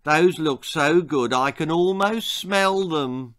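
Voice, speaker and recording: A man's voice: on the high side, takes it slowly, a bit bright. A man with an English accent voicing an oblivious, silly mother in a comic children's story, gushing and unconcerned.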